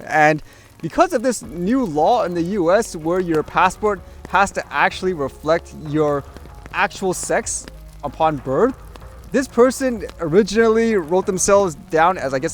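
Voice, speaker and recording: Higher-pitched man chatting over music. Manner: rambling, conversational, frequent hedging asides. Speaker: vlogger host. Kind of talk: casual opinion commentary to camera.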